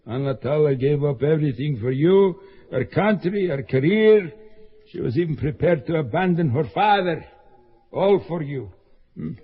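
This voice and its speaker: A fervent older father, pitched a little high with a husky edge. He sounds bitter one moment and overflowing with feeling the next, in the manner of a radio play. The recording is dull and lo-fi. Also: lively intonation, moderate pace. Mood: reproachful. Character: older male father, emotional and warm-hearted. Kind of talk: 1970s radio drama acting.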